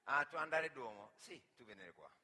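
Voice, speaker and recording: A man's voice: mid-range voice, animated, normal speed, sharp tone, big-room sound, voice pushed down. A man playing a helpful but patronising local passer-by who over-explains emphatically in broken, simplified phrases in a comic stage monologue. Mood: patronising, insistent.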